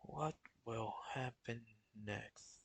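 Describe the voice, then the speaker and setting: A young man talking casually on a live stream, in a flat, halting way with many restarts. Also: mid-range voice, vocal fry, dry recording. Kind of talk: casual solo stream talk.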